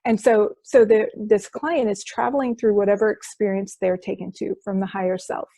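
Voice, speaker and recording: Woman guest on a video call interview, mellow warm voice, dry close sound, gets excited explaining stuff. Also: mid-range voice, normal speed, dry recording. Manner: enthusiastic, restarts phrases often. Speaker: quantum healing hypnosis practitioner, guest. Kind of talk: explaining her practice in an interview.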